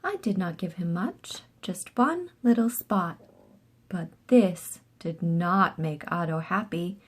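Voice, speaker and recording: A woman reading a picture book aloud to young children as an expressive storyteller. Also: fairly low pitch, takes it slowly, breathy edge.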